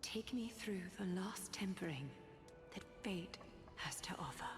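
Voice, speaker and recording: Calm dignified goddess type in a video game, formal n gentle, big echoing hall, soft music behind. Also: female voice, medium pitch, a little slow, vocal fry. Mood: calm, resigned. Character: serene, selfless demigod woman, resigned and dignified. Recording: long cavernous reverb, music behind.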